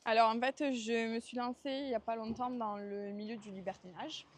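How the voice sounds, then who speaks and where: Young Marseille woman, interviewee, hesitant, fairly high voice, outdoors. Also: steady tone, fairly quick. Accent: Marseille French. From France. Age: 20s. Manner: hesitant, many fillers and restarts. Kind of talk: casual interview while walking.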